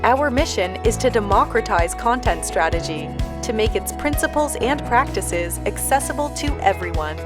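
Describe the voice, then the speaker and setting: A woman's voice: medium pitch, normal speed, hardly any pauses. A woman reading a polished, scripted podcast introduction and handing over to the host. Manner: polished, even announcing. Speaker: show announcer. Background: music.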